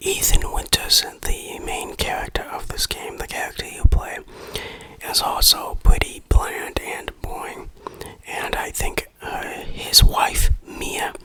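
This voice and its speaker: A person whispering an informal, opinionated ASMR game review in a breathy, unvoiced voice. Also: pushed and bright, poor audio.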